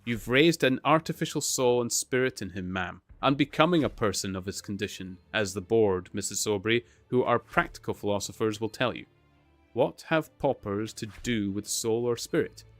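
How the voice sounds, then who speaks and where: A man's voice: lowish voice, moderate pace, no echo, faint music behind, lightly acted. A man reading aloud and voicing a pompous, stern male parish beadle who scolds with heavy emphasis. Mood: stern scolding. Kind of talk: storybook reading.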